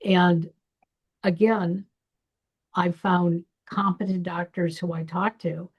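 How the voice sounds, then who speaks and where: Gravelly-voiced woman podcast host. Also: on the low side, little pitch movement, takes it slowly, highs cut off. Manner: reflective, personal storytelling. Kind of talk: personal conversation.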